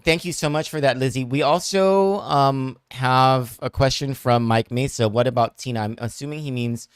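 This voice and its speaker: A man's voice: mid-range voice, normal speed, smooth, no room sound, clean studio sound. A man, hosting a news analysis show and answering viewer questions in a thoughtful, hesitant way full of fillers and restarts. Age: 40s.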